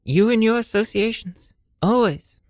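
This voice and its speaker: A woman's voice: a little high, measured pace, a little mellow, no room sound, clean studio sound, pitched above the performer's own voice. A woman playing an exasperated, practical frontier woman, weary and scolding, in improvised comedy.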